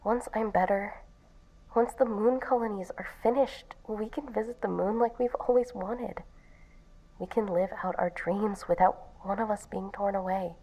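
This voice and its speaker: A woman's voice: mid-range voice, normal speed, dark tone, natural voice, low-quality recording. A woman playing an affectionate, trusting sweetheart in an audio drama, speaking with tender, teasing intimacy. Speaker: voice actor.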